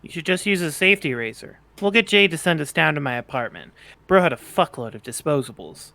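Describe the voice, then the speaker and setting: A man voicing a laid-back, deadpan young bird-like spirit guide in an amateur fan fiction reading. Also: a little high, brisk, creaky, dry recording, exaggerated voice. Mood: wry, easygoing.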